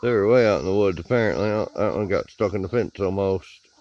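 A man's voice: sits low, brisk, a little mellow, slight background noise. A man narrating a casual backyard vlog while filming his chickens outside, muttering wry remarks at the flock. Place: outdoors in a rural backyard with chicken coops. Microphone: handheld camera. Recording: dull lo-fi camera audio with clucking behind.

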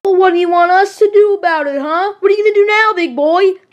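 A woman voicing squabbling cartoon villain crooks who bicker loudly in a shrill voice. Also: fast talker, lo-fi sound. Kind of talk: comedic skit voice acting.

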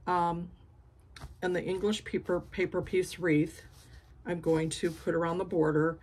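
A woman's voice: lowish voice, flat delivery, a little slow, darkish tone, a little hiss or hum. A woman in her sixties chatting casually about her quilting projects, rambling with frequent ums and restarts, filmed on a phone in her home craft studio. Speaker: quilting vlogger. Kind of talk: casual show-and-tell chat. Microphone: phone camera at arm's length.

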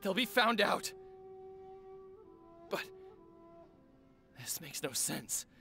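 A gravelly young smith, defiant. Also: male voice, high-pitched, very animated, extremely slow, bright, forceful tone, full character voice. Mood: angry. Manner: intense, pleading, gravelly. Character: earnest young male smith, defiant and dying. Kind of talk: video game voice acting. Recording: game audio with music underneath.

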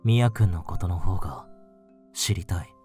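Man acting a very deep, mellow, deadpan young man. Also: moderate pace, soft background music, lightly acted. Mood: low-key, sincere. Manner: deadpan, understated. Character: awkward, low-key, dry young male office senior. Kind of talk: anime-style voice drama acting.

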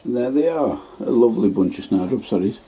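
A man's voice: medium pitch, expressive, fairly quick, dark tone, slight background noise. A man, chatting casually as he shows his allotment work in a greenhouse. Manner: chatty, homely, plain-spoken. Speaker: allotment vlogger. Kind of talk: casual gardening vlog narration.

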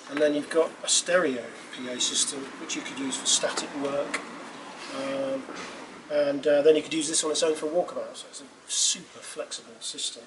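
A man in his fifties gives a casual product demonstration in an offhand, halting way. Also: on the high side, steady tone. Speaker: presenter demonstrating portable PA equipment. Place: small wooden cabin with doors open. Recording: clear background noise, open-room ambience.

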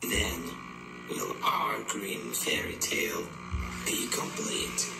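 A man's voice: sits low, sing-song, slow, creaky, heavy background noise, heavily acted. A man voicing a grim, sorrowful shadow rabbit spirit in an ominous, solemn dramatic monologue. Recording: echoey, loud music underneath. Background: music.

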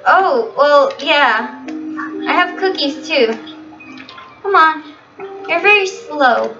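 A woman's voice: high-pitched, animated, slow pace. A woman playing along in an improvised video game roleplay. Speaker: player voicing an angel in a Minecraft roleplay. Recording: muffled, distant mic under loud music. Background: music.

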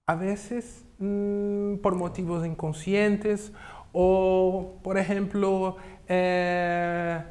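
Slow, halting man in a TV studio. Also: high voice, a little mellow. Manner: slow, thoughtful, halting with repeats. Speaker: studio guest. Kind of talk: studio interview talk. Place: TV studio set.

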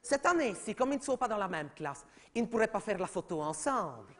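A man's voice: sits high, big pitch swings, brisk, a bit bright, a faint crowd, full character voice. A man voicing a chatty, oblivious middle-aged mother, rambling and gossipy in a comedy sketch. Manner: rambling, gossipy, repeats "oui, oui", talks over others.

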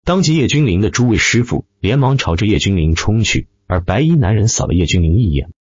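Male audiobook narrator, animated dramatic delivery, lowish voice, close dry sound with dulled top end. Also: a little fast, husky, no room sound, highs cut off. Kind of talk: scripted dramatic narration of fantasy web novel.

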